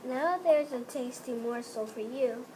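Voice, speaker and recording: A woman's voice: high voice, a little slow. A woman voicing a bossy, greedy old witch who can turn falsely sweet, in a children's fairy tale. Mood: gloating. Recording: noisy room recording.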